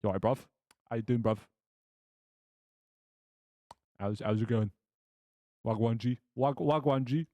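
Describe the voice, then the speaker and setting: A man's voice: medium pitch, moderate pace, warm tone, no room sound, lo-fi sound, natural voice. A man voicing a brusque young Chav blacksmith in improvised tabletop roleplay, slangy, with a put-on British Chav accent. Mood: casual, matey. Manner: slangy, incredulous.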